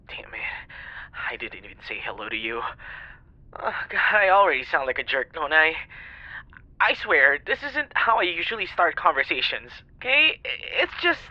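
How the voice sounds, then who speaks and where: A man's voice: fairly high pitch, pushed and bright, no room sound, exaggerated voice. A man playing a flustered, apologetic young stranger on a phone call, rambling and hesitant in an intimate ASMR roleplay style. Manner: hesitant, stammering, sighing, rambling.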